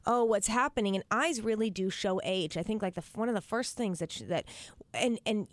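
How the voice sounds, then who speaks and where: A woman's voice: mid-range voice, brisk, dry recording. A woman co-hosting a live call-in radio show. She speaks in a chatty, enthusiastic way, with a close, dry studio sound.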